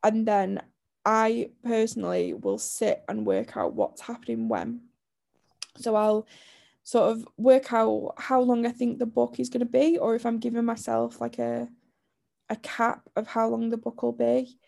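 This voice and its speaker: A woman co-hosting a podcast in casual chat, her voice croaky from a lingering chest illness, talking chattily with frequent laughs and restarts. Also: a little high, measured pace, a little mellow. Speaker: podcast co-host.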